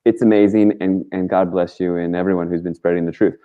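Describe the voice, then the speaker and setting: A man with a very low voice speaks in a casual, rambling storytelling style. His delivery is earnest and emphatic, peppered with slang. The audio is somewhat dull and lo-fi, like a call-in show. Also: fairly even intonation, fast talker, clean tone. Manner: informal and slangy, with frequent "like" and mild swearing. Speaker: talk show guest, activist.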